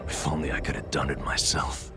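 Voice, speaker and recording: A man's voice: a bass voice, little pitch movement, a little fast, music in the background. An actor playing a bitter, world-weary man in an English video game dub, with a somber, resigned way of talking. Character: world-weary, bitter man searching for his lost brother.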